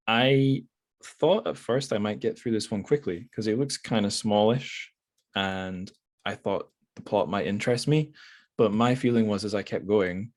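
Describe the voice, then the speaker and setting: Low-pitched man hosting a casual book chat. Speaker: podcast host. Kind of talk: casual book discussion interview.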